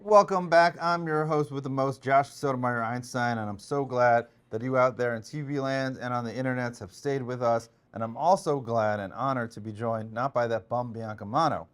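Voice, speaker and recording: A man's voice: medium pitch, normal speed, hardly any pauses, clear, resonant tone, soft background music. A man hosting a political interview, hammy and jokey, stumbling over his words now and then. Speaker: political analyst and host. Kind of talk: casual interview.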